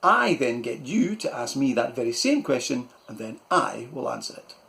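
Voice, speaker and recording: A man's voice: medium pitch, sing-song, moderate pace, gravelly, exaggerated voice. A man voicing a blustering, self-important middle-aged uncle who barks questions. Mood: pompous. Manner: loud, barking, self-important.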